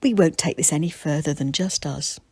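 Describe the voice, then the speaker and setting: A woman reader puts on a farmer dad from a children's storybook. He is brisk and decent, with a slightly husky, creaky voice in a dry recording. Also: sits low, a little mellow, no echo. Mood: calm, forgiving.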